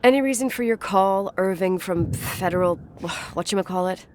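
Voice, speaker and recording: A woman's voice: medium pitch, moderate pace, darkish tone, a little hiss or hum, natural voice. An actress playing a sardonic, impatient young American woman in a video game, with a brusque, dry manner. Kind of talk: naturalistic video game acting.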